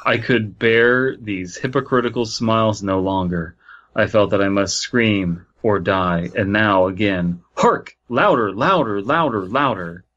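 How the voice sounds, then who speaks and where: A man's voice: on the low side, unhurried, a bit bright. A man hosting a podcast, reading a story aloud and breaking off to joke about it. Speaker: podcast host. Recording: slightly dull, lo-fi sound.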